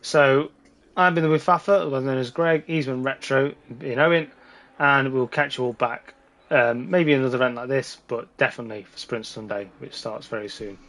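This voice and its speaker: A man with a slightly hoarse voice giving casual, informal live commentary on a sim race. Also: medium pitch, brisk, a bit bright.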